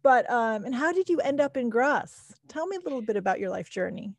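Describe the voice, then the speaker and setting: A woman's voice: a little high, sing-song, fairly quick, vocal fry, no echo. Affirming woman host, dry close mic. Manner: warm and affirming, laughs often. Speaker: podcast host. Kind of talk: interviewing a guest.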